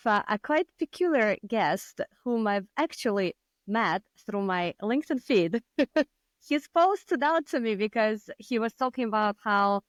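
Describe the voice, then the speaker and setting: A woman's voice: medium pitch, dry recording. A woman hosting a podcast, chatting casually with a guest and laughing often. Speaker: podcast host. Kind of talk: casual interview chat.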